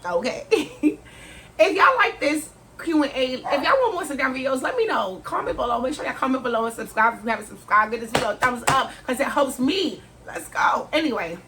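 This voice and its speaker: A woman, chatting candidly in a sit-down Q&A vlog, slangy and quick to laugh. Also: high voice, sing-song, a bit bright, slight background noise. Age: 30s. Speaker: vlogger. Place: indoors at home, sit-down setting.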